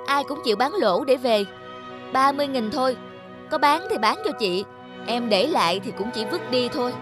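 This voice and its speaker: A woman's voice: high voice, animated, normal speed, over music. A woman playing a pushy, scornful middle-aged female customer in a cartoon. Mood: indignant, scornful. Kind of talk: animated cartoon dialogue.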